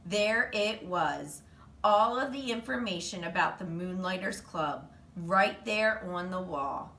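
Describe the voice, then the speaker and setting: Woman, expressive children's read-aloud, animated storyteller, bright tone, echoey room, slightly distant mic. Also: lowish voice, slow pace. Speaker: reader, teacher. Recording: roomy, distant mic, faint noise.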